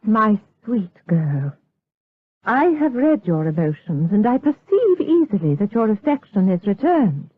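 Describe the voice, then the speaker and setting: A woman's voice: medium pitch, very animated, measured pace, breathy edge. An actress playing a stern, protective widowed mother in a period audio drama, formal and wary. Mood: firm, tender. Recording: dull lo-fi radio drama recording.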